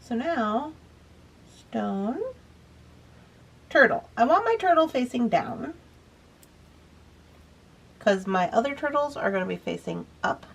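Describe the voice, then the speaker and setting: A woman's voice: on the high side, lively intonation, slow, gravelly, pauses often, slightly rough. A woman hosting a beading tutorial, explaining each step in a chatty, easygoing way while she works. She is a bit sniffly. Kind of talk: casual step-by-step craft demonstration.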